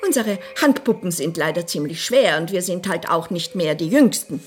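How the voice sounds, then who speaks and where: A woman's voice: fairly low pitch, expressive, moderate pace, lightly acted. An actress playing a bossy, eccentric older lady in a radio play, imperious, wry and teasing. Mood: businesslike, wry.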